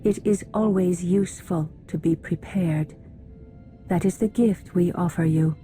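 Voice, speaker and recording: A woman's voice: on the low side, a little slow, warm tone, soft background music, close to an everyday voice. An actress playing a stern, distrustful female alien council leader in a video game, formal and grave. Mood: grave foreboding. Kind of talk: video game cutscene acting.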